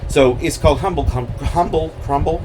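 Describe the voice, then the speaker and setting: A man's voice: fairly high pitch, moderate pace, noisy background. A man talking casually as a poet about his own work, in a digressive, hesitant, self-deprecating way. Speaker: poet introducing and reading own poem. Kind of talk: rambling casual talk around a poem reading.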